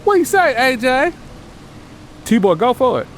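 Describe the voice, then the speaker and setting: A man's voice: very high voice, sing-song, a little slow, slight background noise, heavily acted. An actor playing a sly male gang boss in an audio drama, probing and scheming.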